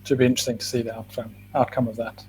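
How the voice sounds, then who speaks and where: A man joins an online community call with short, relaxed remarks, speaking quickly. A faint hum sits under his voice. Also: mid-range voice, poor audio. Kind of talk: casual online chat.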